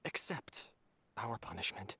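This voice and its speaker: A woman's voice: extremely low pitch, very animated, unhurried, bright, forceful tone, a little hiss or hum, full character voice. A woman voicing a resigned, honourable young wrongdoer who accepts punishment in a video game. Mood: resigned, sorrowful.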